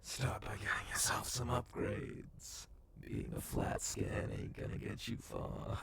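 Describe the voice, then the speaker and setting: A man playing a menacing, sadistic cyborg gang boss and bounty hunter in a dark ASMR roleplay, in a breathy, gravelly whisper with slow, taunting delivery. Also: on the low side, big pitch swings, noisy background, heavily acted. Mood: taunting, commanding. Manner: slow, deliberate, taunting, swearing.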